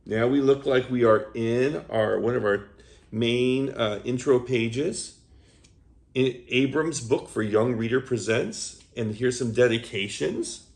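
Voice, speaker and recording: A man hosting a children's read-aloud, reading a picture book aloud as an animated storyteller who chats to young listeners between pages. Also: mid-range voice, slow pace, creaky.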